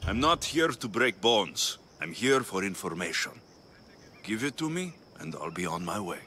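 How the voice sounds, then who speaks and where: A man's voice: on the low side, big pitch swings, normal speed, pushed and bright, a little hiss or hum. A man playing a blunt, impatient mercenary in a video game, terse and firm. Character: blunt, impatient male Greek mercenary hero. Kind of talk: video game cutscene acting.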